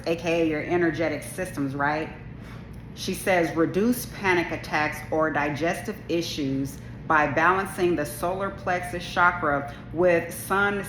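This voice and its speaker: A woman's voice: low voice, a bit bright, slight background noise. A woman video creator talking casually to camera about spirituality, with a strong, assertive delivery and many restarts and asides. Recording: echoey room.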